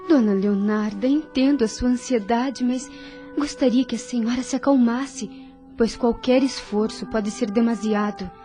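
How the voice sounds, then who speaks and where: A woman playing a devout, caring young woman at a sickbed in an audio drama, gentle, earnest and consoling. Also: a little high, moderate pace, lightly acted. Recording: soft music behind voice.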